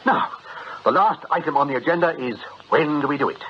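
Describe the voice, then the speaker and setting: A man's voice: fairly high pitch, fairly quick, natural voice. A man with an English accent acting in a radio drama as a genial, fussy gang leader, chatty and quaintly polite. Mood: genial, pleased. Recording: dull, noisy radio broadcast recording.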